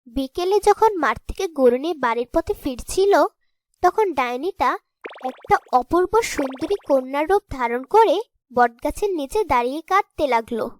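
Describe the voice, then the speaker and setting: A woman's voice: high voice, lively intonation, normal speed, darkish tone, slight background noise. A woman storyteller giving scripted fairy-tale narration for a cartoon.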